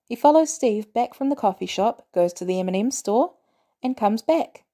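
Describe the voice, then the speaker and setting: A woman reading fiction aloud as an audiobook narrator, in an expressive storytelling style. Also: a little high, normal speed. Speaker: audiobook reader.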